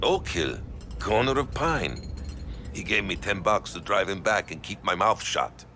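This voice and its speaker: An actor playing a nervous cab driver who passes information to a mobster, in conversational video game dialogue. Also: male voice, low-pitched, sing-song, unhurried, bright, forceful tone, a little hiss or hum, heavily acted. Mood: confiding.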